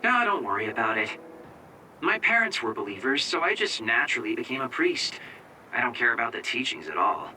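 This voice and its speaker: An actor in an English anime game dub playing an easygoing young operator who directs a platoon over headsets. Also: male voice, medium pitch, very animated, brisk, bright, forceful tone, far from the mic, a little hiss or hum, rough recording. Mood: casual, explaining. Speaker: mission operator.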